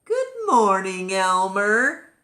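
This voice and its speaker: Woman playing excitable, puzzled storybook elephants. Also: medium pitch, extremely slow, full character voice. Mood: cheery greeting. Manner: exaggerated questions and exclamations.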